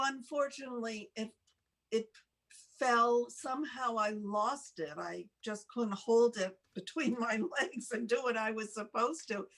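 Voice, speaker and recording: An older woman telling a personal story casually, in a halting, rambling, self-deprecating way, as a talk show host. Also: fairly high pitch, fairly bright tone.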